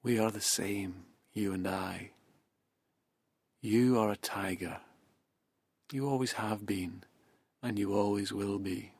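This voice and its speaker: A man voicing a stern adult male tiger who growls insistently with a husky voice. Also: on the low side, slow pace, pauses often, a little mellow, dry recording, heavily acted.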